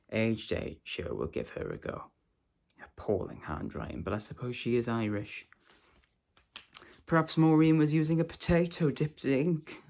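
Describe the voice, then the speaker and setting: A man's voice: mid-range voice, vocal fry, a little mellow. A man playing a pompous, fussy literary celebrity in a comic stage monologue, sounding dismissive. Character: pompous, fussy, sneering male literary celebrity reading fan mail.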